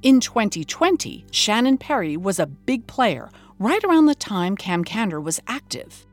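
A woman's voice: mid-range voice, big pitch swings, moderate pace, soft background music. An actress playing a wry, incredulous woman hosting a scripted investigative documentary podcast, with a dramatic storytelling manner. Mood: earnest narration. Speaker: host.

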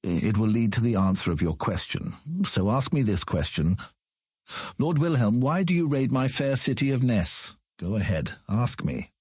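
A man acting as a cunning, humorless old lord in an audiobook drama, measured and condescending. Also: fairly low pitch, big pitch swings, a little mellow, slightly rough, no echo, studio quality. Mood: condescending, amused.

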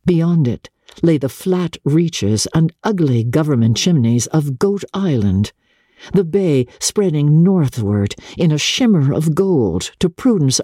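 A woman narrating classic literature in a measured, theatrical way, with deliberate pauses between phrases. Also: deep voice, dark tone, slightly rough, no echo, studio quality. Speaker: narrator, audiobook reader.

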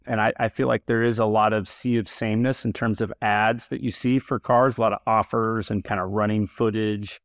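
Chatty male podcast host, low voice. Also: a little fast, few pauses, no room sound, clean studio sound. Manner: relaxed, chatty, many fillers and restarts. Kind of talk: casual interview chat.

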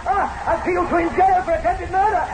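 A man's voice: extremely high pitch, steady tone, fast talker, over a noisy crowd. An actor playing a frightened, defiant man raving in a lift, in melodramatic, repetitive old-time radio horror style. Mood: frightened defiance. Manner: melodramatic, repeats himself frantically. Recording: noisy 1960s radio drama recording.

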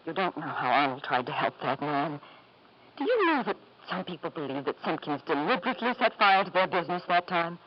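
A woman's voice: deep voice, big pitch swings, brisk. An actress playing a genteel, anxious wife and devoted mother in a 1950s radio drama, refined and hesitant. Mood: worried, defensive. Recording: muffled, noisy 1950s radio broadcast.

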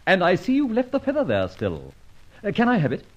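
An actor playing a suave, confident, playful gentleman adventurer in an old radio serial, polished and jaunty. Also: high voice, wildly swooping pitch, a little fast, exaggerated voice. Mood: cool, playful. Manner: jaunty, polished, self-assured. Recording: muffled old radio recording, faint music.

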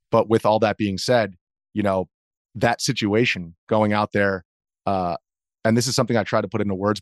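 American male interview guest, thirties. Lowish pitch, dry sound, measured and earnest, reasons aloud. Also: no room sound. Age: 30s. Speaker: interview guest, video journalist. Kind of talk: reflective interview account.

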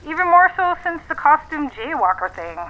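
An actress playing a brisk, persuasive businesswoman on a phone call in a naturalistic audio drama, with a businesslike, coaxing manner. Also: high-pitched, very animated. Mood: matter-of-fact. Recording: dull, band-limited phone line.

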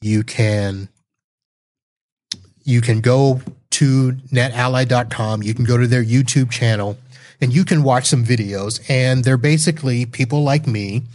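A man giving a casual, unscripted product review as a host, explaining things with halting self-corrections. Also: medium pitch, a little mellow. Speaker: tech reviewer and podcast host on a live stream.

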